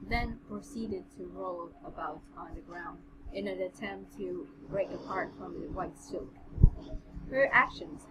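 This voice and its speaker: A woman co-host doing an amateur, slightly halting reading of a novel with casual commentary. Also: on the low side. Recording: very noisy home recording.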